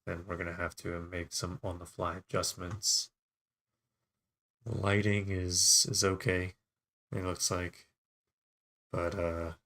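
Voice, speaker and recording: A man hosting a casual fly tying livestream, a little raspy from yelling, chatting easily while setting up his gear. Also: low-pitched.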